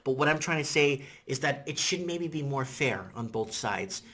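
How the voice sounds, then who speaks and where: A man in his thirties whose first language is Portuguese, talking casually to a webcam in a rambling, animated way with lots of repetition and "you know what I'm saying?". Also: medium pitch, moderate pace. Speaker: vlogger. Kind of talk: casual opinion vlog to camera. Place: indoors in front of a green-screen city backdrop.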